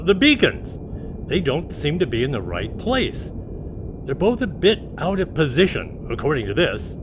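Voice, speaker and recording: An actor playing a worried, deferential starship captain in an audio drama, speaking cautiously and respectfully. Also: male voice, normal speed. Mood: concerned. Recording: steady engine rumble behind the voice.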